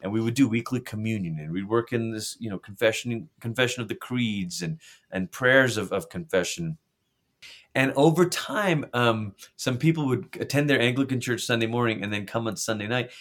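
A man's voice: mid-range voice, animated, moderate pace, a bit bright. A middle-aged pastor from Malaysia, speaking earnestly and reflectively in a conversational interview, often restarting his phrases. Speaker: pastor and author.

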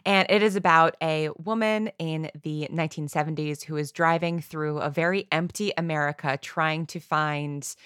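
A woman's voice: low-pitched, steady tone, moderate pace, hardly any pauses, a bit bright, smooth, no echo, studio quality. A woman guest talking in a friendly, enthusiastic way in a casual interview about her creative work. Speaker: podcast guest, audio drama writer and producer.

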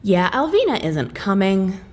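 An actress playing a brash, impatient and sarcastic CIA agent in an audio drama, with a casual way of talking. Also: female voice, medium pitch, very animated, measured pace. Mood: exasperated.

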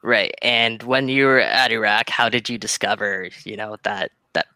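A man's voice: lowish voice, fairly even intonation, moderate pace, pushed and bright, dry recording. A man co-hosting a casual sports chat, asking questions with enthusiastic, buddy-like banter. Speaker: show co-host, interviewer.

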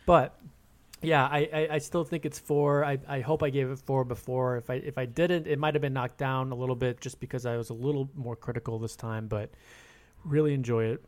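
A man's voice: medium pitch, steady tone, a little fast. A man on a podcast panel, talking casually about film.

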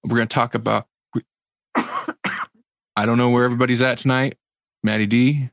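A man's voice: mid-range voice, level tone, moderate pace, gravelly, fairly bright tone, no echo, studio quality. A man hosting a podcast, chatting loosely with friends and laughing often. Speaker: podcast host. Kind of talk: casual chat.

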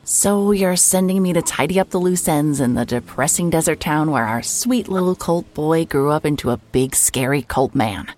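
A woman playing a hard-hearted, sarcastic private investigator with a deadpan delivery in a mystery audio drama. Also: low-pitched, normal speed, few pauses, a little hiss or hum. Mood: sardonic. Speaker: lead actor in an audio drama. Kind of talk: cinematic audio drama acting, noir narration.

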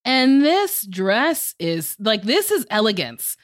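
A woman's voice: a little high, takes it slowly, no room sound, clean studio sound. Frank, swearing woman co-host, a bit tipsy. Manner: frank, swears freely, animated asides. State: tipsy from drinking. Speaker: podcast co-host. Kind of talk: casual chat.

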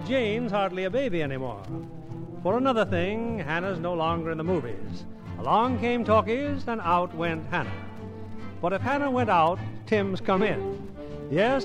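A vintage radio recording with music behind. An American man with a high voice hosts a theater broadcast in a formal, scripted 1950s manner. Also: low-quality recording.

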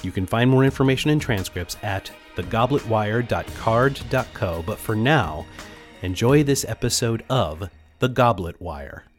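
A man's voice: lowish voice, animated, a little slow, faint music behind. A man reading a scripted podcast promo as its host. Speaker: podcast host and network co-founder.

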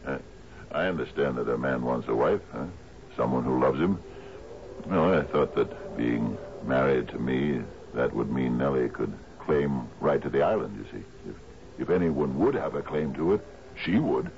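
An actor playing a doddering, sentimental old island sea captain who stammers and repeats himself, in a 1970s radio drama. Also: male voice, rumbling low, expressive, normal speed. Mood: hesitant, confiding. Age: older. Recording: dull, noisy old radio recording.

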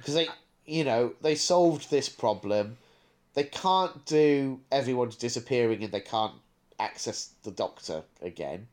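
A man's voice: mid-range voice, expressive, unhurried, darkish tone. A man co-hosting a podcast in casual chat, thinking aloud as he talks at length. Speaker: podcast co-host.

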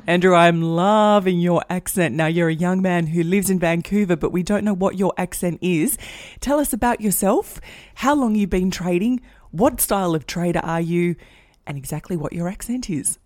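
A woman's voice: fairly low pitch, normal speed, darkish tone, no echo, clean studio sound. A woman hosting a talk podcast, asking direct questions to prompt her guest. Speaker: podcast host. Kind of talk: interviewing a guest.

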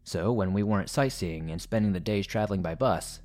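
A man reading first-person horror stories as a narrator, in a measured, deliberate storytelling manner. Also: sits low, darkish tone. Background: background music.

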